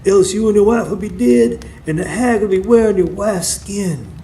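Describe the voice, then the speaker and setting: A man playing a stern old conjure man, high-pitched. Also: animated, normal speed, dark tone. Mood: grave, instructive. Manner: commanding, terse, instructive. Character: wise, stern old folk conjure man with silver dreadlocks. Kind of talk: live radio drama acting. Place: stage before a live studio audience. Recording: live stage recording with background noise.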